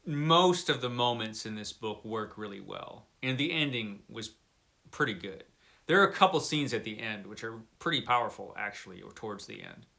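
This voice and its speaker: Bright-voiced man casually chatting about books. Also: lowish voice, expressive, moderate pace, gravelly. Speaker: book reviewer and vlog host. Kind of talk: casual chatty book review.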